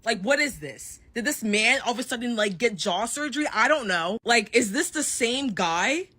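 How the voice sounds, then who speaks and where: A woman's voice: fairly high pitch, lively intonation, normal speed, pushed and bright, slightly rough. A woman giving casual pop-culture commentary in an emphatic, exaggerating, sweary manner.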